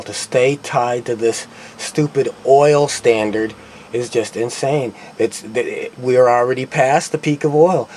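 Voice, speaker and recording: A man's voice: mid-range voice, a little mellow, slight background noise. A man giving an unscripted, opinionated political monologue, speaking earnestly and often stammering or repeating himself mid-thought. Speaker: online commentator.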